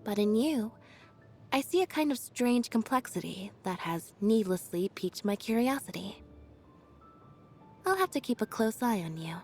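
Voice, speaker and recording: A woman voicing an aloof, quiet young female magician's assistant in a video game, deadpan, reserved and understated. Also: fairly high pitch, moderate pace, soft background music. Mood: thoughtful. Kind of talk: video game character voice lines.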